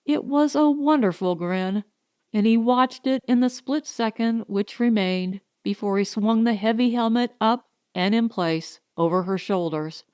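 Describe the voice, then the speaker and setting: A woman reads a scripted science fiction audiobook as its narrator. Her voice is smooth and clean, and she takes the sentences at an unhurried, slow pace. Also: a little high, darkish tone, dry recording, studio quality.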